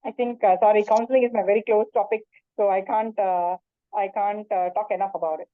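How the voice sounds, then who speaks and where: Earnest yoga teacher on a tinny call. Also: female voice, medium pitch, fairly even intonation, brisk, no room sound. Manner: earnest, many fillers and restarts. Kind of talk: webinar talk and Q&A. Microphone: video call. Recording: tinny phone-like call audio.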